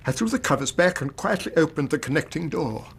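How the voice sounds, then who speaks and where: Husky, creaky older British banker. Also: male voice, fairly high pitch, lively intonation, fairly bright tone, full character voice. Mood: anxious, earnest. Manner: formal, measured, distressed. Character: anxious, upright older Victorian banker, weary indulgent father. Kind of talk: BBC radio drama acting.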